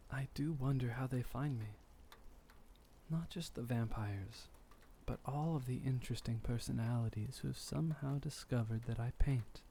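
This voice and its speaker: A man acting as a centuries-old vampire lover speaks very slowly and softly, with a gravelly creak in his voice. He is gentle, melancholy and formal, musing as if at a bedside in an intimate ASMR roleplay. Faint noise sits behind him. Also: mid-range voice. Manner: soft-spoken, unhurried, formal old-fashioned phrasing, musing.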